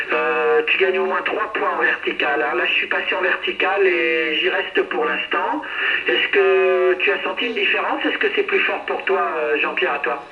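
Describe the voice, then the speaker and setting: A man talking casually and hesitantly over two-way radio, in a chatty, friendly way. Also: sits high. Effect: two-way radio transmission. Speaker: amateur radio operator. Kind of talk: casual radio contact chat. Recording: roomy, distant, dull noisy radio transmission.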